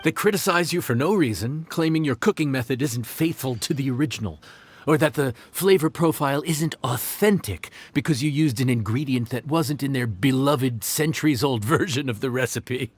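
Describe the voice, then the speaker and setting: A man's voice: mid-range voice, normal speed, slightly rough, lightly acted. A man voicing a friendly, encouraging, chatty restaurant worker in an anime game. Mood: exasperated. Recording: music behind the voice.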